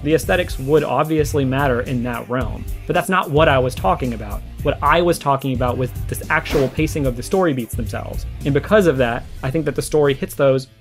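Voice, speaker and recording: A man's voice: medium pitch, fairly quick, hardly any pauses, soft background music. A young man giving conversational, argumentative video commentary, explaining his points and restating them for emphasis. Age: young adult. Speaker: video essayist responding to a streamer.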